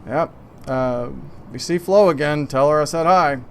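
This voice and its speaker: A man running a tabletop game, improvising narration in a halting, conversational way. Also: on the high side. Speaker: game master. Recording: faint background noise.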